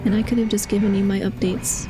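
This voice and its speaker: A young woman streaming a game and chatting casually about her day, confiding and laughing often, a little hoarse as she starts losing her voice. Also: medium pitch, flat delivery, quick pace, noisy background. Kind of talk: casual chat while playing a game.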